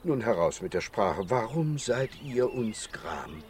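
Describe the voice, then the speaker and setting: A man's voice: on the low side, very animated, takes it slowly, a little mellow, slight background noise. A man playing a kindly, noble doctor in a children's radio play, earnest and reassuring. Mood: earnest, pleading. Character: kindly, noble doctor, calm leader of his animals.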